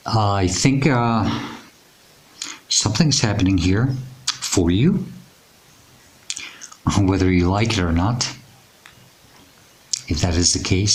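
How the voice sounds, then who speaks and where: A man with a raspy, hoarse voice giving a tarot reading, speaking in a reassuring, unhurried way with many pauses and fillers. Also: sits low, poor audio. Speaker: tarot reader. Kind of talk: intuitive tarot reading to camera.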